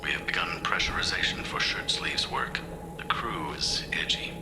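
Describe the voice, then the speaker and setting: Uneasy scientist, low and whispery. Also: male voice, normal speed. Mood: uneasy, brooding. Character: uneasy male scientist recording a private log. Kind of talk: video game audio log.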